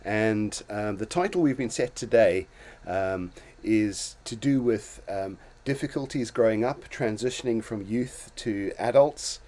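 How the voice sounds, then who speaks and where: A man's voice: fairly low pitch, measured pace, few pauses, darkish tone. An older man from Zimbabwe hosting a casual talk show, reflecting on his own life in a storytelling way with many restarts and small chuckles. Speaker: host.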